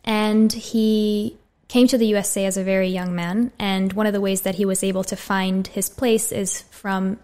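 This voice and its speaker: A woman in her twenties with an American accent, chatting as a podcast guest in a small studio on a condenser mic. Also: mid-range voice. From USA. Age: 20s. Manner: friendly, relaxed, frequent "so" openers. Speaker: podcast guest, medical student. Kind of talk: casual interview talk. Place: small bright studio room. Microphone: studio condenser mic on boom arm.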